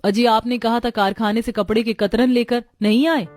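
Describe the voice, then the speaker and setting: A woman's voice: on the high side, fairly even intonation, normal speed, darkish tone, soft background music, close to an everyday voice. A woman playing a poor, dutiful, resourceful young daughter-in-law in a cartoon. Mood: gentle reassurance.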